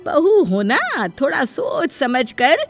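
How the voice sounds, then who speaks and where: A bossy middle-aged mother-in-law played by a woman in a cartoon family drama. She is proud and sharp-tongued, scolding with firm emphasis, and music plays behind her. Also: high voice, big pitch swings, takes it slowly, exaggerated voice. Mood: stern. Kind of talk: scripted Hindi cartoon family drama dialogue.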